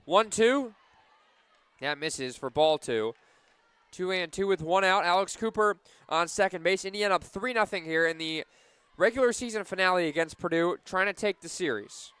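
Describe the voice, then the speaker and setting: High-pitched, clear male softball announcer. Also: no room sound. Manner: excited on big plays, measured between them. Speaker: radio play-by-play announcer. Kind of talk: live softball radio play-by-play. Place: live at a softball game.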